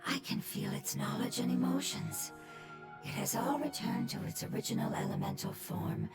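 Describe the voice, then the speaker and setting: A woman voicing a calm consoling spirit. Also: on the high side, normal speed, lightly acted. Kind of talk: game cutscene voice acting. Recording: echoey voice over loud game music.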